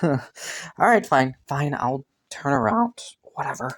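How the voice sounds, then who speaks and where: A man's voice: fairly high pitch, lively intonation, slow, vocal fry, fairly bright tone, full character voice. A man playing a haughty, teasing young crown prince in a romantic fantasy audio roleplay, suspicious yet intrigued, with a smug manner. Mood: teasing, skeptical. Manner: teasing, smug, chuckling and sighing between phrases.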